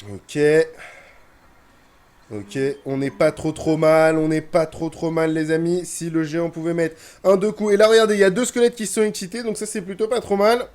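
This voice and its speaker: Young male gaming youtuber, slightly high voice, stuffy head cold, chatty commentary that turns to grumbling. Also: fairly even intonation, moderate pace, darkish tone. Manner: chatty, grumbles and swears when frustrated. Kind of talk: casual gameplay commentary.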